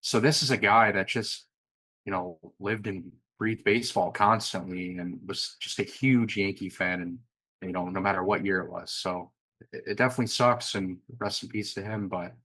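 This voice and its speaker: A young man co-hosting a sports podcast, chatting in a relaxed way with lots of fillers. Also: sits low, moderate pace, no room sound, poor audio. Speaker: podcast co-host. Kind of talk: casual sports chat.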